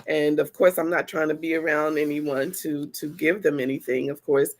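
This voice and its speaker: A woman hosting a podcast in a chatty, animated way, a little congested from the flu. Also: low voice, normal speed. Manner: chatty, animated storytelling with laughs. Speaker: podcast host. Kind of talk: casual podcast chat.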